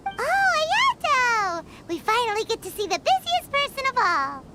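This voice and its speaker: A woman voicing a small, childlike, excitable fairy companion in a video game. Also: extremely high pitch, a little slow, exaggerated voice. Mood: excited, teasing. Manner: excitable, speaks of self in third person. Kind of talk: video game character dialogue. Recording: game music behind.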